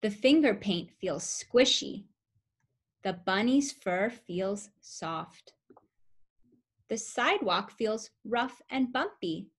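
A young woman reading a picture book aloud to toddlers as a preschool teacher, with simple, expressive phrasing and questions for the listeners. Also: medium pitch, slow pace, slightly rough. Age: young adult. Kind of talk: children's story read-aloud.

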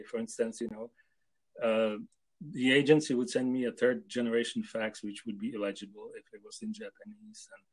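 An older man from Czechoslovakia answering interview questions on a video call, hesitant and full of pauses. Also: mid-range voice, darkish tone, low-quality recording. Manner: hesitant, with many pauses and fillers. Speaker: guest translator. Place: home room with photos on wall.

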